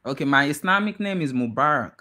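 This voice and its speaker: A young man hosting a podcast over a video call from a small room, asking questions in a relaxed, slangy way. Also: a little high, quick pace. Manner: relaxed, slangy, asks questions with easy encouragement. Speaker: podcast host. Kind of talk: casual interview. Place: indoors, small room at home.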